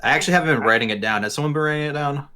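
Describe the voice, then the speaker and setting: A man's voice: on the high side, racing, pushed and bright, clear, resonant tone. A man playing a tabletop role-playing game, chatting and joking casually with friends. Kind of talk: casual chat among friends, joking in and out of character.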